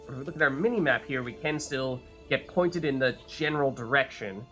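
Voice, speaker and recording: A man's voice: medium pitch, lively intonation, a little fast, pushed and bright, soft background music. A man giving casual live commentary on a video game, chatting and thinking aloud as he plays. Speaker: game streamer, host.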